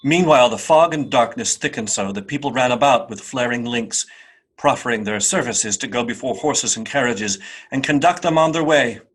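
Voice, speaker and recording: A man narrating a classic tale for a radio play in an expressive, literary, wry manner. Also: medium pitch, moderate pace, breathy edge, lightly acted. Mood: atmospheric. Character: storybook narrator, wry and atmospheric. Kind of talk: dramatic scripted narration.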